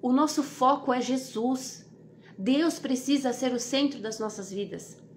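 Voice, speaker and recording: A Brazilian woman with a distinctly high voice delivers an informal devotional message. She exhorts earnestly, repeating phrases to drive them home and putting questions to the listener. Light ambient noise sits behind her speech. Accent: Brazilian Portuguese. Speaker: devotional host and preacher.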